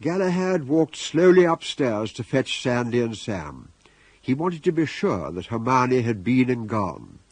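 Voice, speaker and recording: A man's voice: medium pitch, very animated, measured pace, a little mellow, breathy edge, no echo, heavily acted. A man with a British accent reading scripted narration and announcements for a radio drama.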